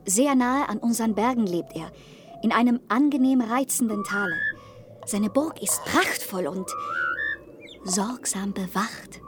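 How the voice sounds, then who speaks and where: A woman's voice: mid-range voice, unhurried, very noisy, lightly acted. An actress playing a teasing yet solemn servant of a queen in a radio play, with formal, dramatic delivery. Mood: conspiratorial. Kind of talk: theatrical German radio play acting. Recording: radio drama under prominent music.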